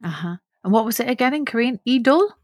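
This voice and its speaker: A woman's voice: a little high, expressive, quick pace, no echo, clean studio sound. Patient, encouraging woman host. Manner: patient, encouraging, asks simple follow-up questions. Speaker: podcast host interviewing a child. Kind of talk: friendly interview questions.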